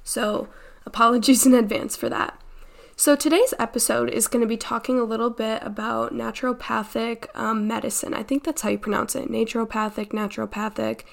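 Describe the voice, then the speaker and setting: A woman's voice: fairly high pitch, steady tone, fairly quick, vocal fry. A nineteen-year-old woman hosting a casual personal podcast, chatty and informal, sounding a little congested and stuffed up. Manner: chatty, informal, peppered with "like" and "you know". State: congested, slightly stuffed-up nose. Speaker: podcast host.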